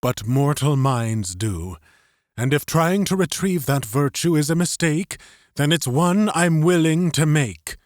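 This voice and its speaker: A man playing an earnest, resolute idealist, deliberately paced. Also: fairly high pitch, lively intonation, dry recording, studio quality, pitched above the performer's own voice. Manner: earnest, determined, halting. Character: earnest, resolute young idealist defying his superiors. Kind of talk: audiobook character acting.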